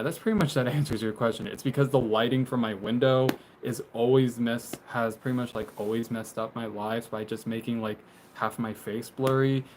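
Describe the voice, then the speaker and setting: A young man in his twenties talks loosely to his viewers, stumbling through fillers and restarts and laughing now and then. His voice sits at an ordinary middle pitch. A faint background hum is in the room with him as his phone on a tripod picks him up from inside a small closet. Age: 20s. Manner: rambling, many fillers and restarts, laughs often. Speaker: live streamer. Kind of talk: casual live stream chat, reading viewer comments. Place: small bedroom closet, indoors.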